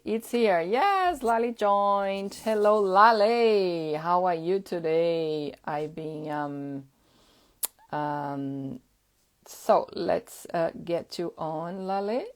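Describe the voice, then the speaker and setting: Woman, Portuguese native, live-stream host, low voice, slow chatty delivery. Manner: unhurried, chatty, many fillers. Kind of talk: casual live-stream chat.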